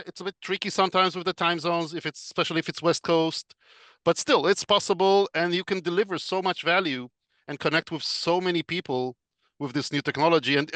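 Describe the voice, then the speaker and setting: A man, a guest on a podcast interview over a video call, explaining in a measured way with frequent fillers. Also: a little high, pushed and bright, no echo. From Israel. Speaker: podcast guest, business consultant. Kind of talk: casual interview chat.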